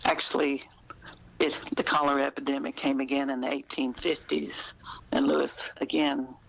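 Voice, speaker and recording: Older female author, noticeable vocal fry, thoughtful conversational delivery with pauses. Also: rumbling low, flat delivery, low-quality recording. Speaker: author being interviewed. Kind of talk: conversational interview.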